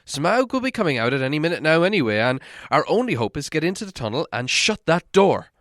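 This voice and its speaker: A man's voice: fairly high pitch, very animated, fast, a bit bright, no room sound, pitched above the performer's own voice. A man plays a small, worried male hobbit from a storybook. His voice sits raised and fretful, with hesitant, stammering phrases. It is recorded dry and close. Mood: flustered, urgent. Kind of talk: storybook character voice in audiobook reading.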